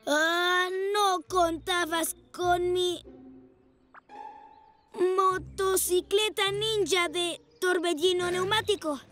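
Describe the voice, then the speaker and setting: An actor voicing a small, brave, playful boy rescue motorbike in an animated children's cartoon, with an excitable, exclamatory delivery. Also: extremely high pitch, drawn-out words, heavily acted. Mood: heroic, excited. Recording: music behind the voice.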